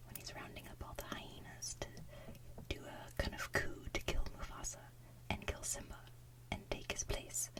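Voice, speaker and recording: A woman who hosts ASMR videos, speaking in a hushed, breathy whisper with a playful, giggly manner. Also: moderate pace. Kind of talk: whispered ASMR chat and soft singing.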